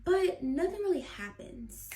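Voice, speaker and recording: A woman's voice: very high voice, sing-song, measured pace, mellow. A woman in her early thirties chatting casually to followers in a wry, informal way. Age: early 30s. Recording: roomy, distant mic, faint background noise.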